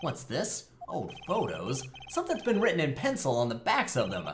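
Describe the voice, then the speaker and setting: A man's voice: on the high side, a bit bright, echoey room, a little hiss or hum. A man voicing a goofy, sarcastic young defense lawyer in a game fan dub, wisecracking with exclamations and muttered asides. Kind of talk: fan-dub visual novel character acting.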